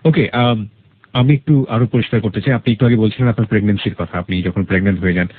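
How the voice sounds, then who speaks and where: A man's voice: fairly low pitch, fairly even intonation, rapid-fire, dry recording, narrow, tinny audio. A man with a Bangladeshi accent hosting a radio interview, asking probing questions and explaining points for listeners. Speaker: radio show host. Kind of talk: probing life-story interview, summarizing for listeners.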